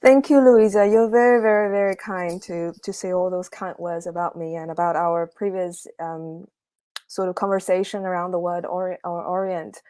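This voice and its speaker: A young woman from China gives a livestreamed academic talk over a video call. Her voice sits a little low and sounds dry and close. She speaks hesitantly, with many fillers and restarts. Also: fairly even intonation, normal speed, warm tone, no room sound. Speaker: guest speaker, PhD researcher.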